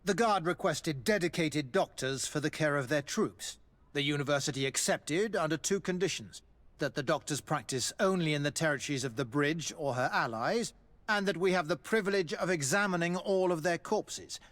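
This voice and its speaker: A man's voice: a little high, normal speed, fairly bright tone, lightly acted. A man voicing a courteous, formal, scholarly army doctor in a video game. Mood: polite, explanatory.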